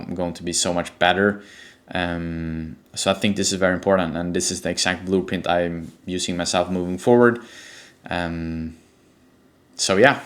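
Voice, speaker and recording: Swedish guy, low voice, swedish accent, coach explaining stuff casually to viewers. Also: fairly bright tone. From Sweden. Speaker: presenter, personal branding coach for real estate agents. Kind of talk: explanatory talk to camera.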